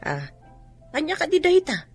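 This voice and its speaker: An actress with a Filipino accent playing a worried, disapproving middle-aged mother in a radio drama, husky and fretful. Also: high-pitched, huge pitch swings, moderate pace, faint music behind. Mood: anxious questioning.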